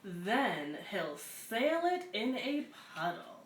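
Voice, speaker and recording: A woman's voice: medium pitch, very animated, takes it slowly. A woman hosting a children's story time, reading picture books aloud and chatting casually to listeners. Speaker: community center story-time host. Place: echoey room at a community center. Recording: echoey room, clear background noise.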